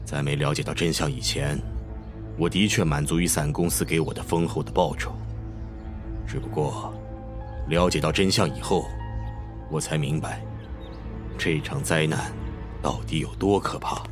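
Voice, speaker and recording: Grave, very deep-voiced male leader, acted. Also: fairly even intonation, moderate pace, long pauses. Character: grave, seasoned male leader of wandering survivors. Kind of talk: Chinese audio drama acting. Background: music.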